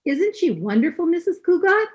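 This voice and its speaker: A woman's voice: extremely high pitch, very animated, moderate pace. A woman playing a gushing, starstruck society lady in a 1940s-style radio comedy. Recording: dull video-call audio.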